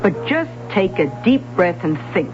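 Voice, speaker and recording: A woman's voice: mid-range voice, big pitch swings, normal speed, background noise, natural voice. An actress playing a shrewd, motherly older woman who teases a young detective in a 1970s radio drama. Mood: teasing. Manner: teasing, wise, streetwise. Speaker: confidante to a detective. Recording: dull lo-fi old radio broadcast with music.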